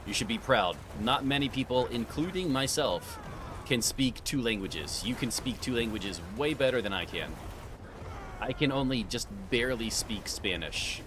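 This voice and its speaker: A man's voice: mid-range voice, animated, normal speed. A man chatting casually with viewers as he plays a game live, greeting them by name and calling them sir. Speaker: livestream gamer. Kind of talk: casual live-stream chat while gaming. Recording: clear background noise.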